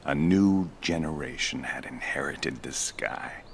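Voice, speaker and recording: An actor playing a weary, resigned old veteran fighter ace, speaking in a measured, reflective documentary interview style. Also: male voice, very deep voice, gravelly, slight background noise, exaggerated voice.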